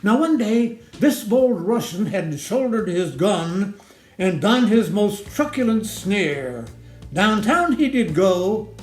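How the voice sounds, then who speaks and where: Man, high voice, unhurried, theatrical ballad recitation, sing-song rhythm, music underneath. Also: very animated. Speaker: poetry reader. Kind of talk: theatrical recitation of a comic ballad in rhyming verse. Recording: roomy echo, music underneath.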